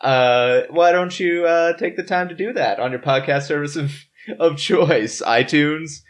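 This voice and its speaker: A man's voice: a little high, unhurried. A tipsy man hosting a casual comedic podcast in a rambling, self-deprecating way. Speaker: podcast host. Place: in-person table recording.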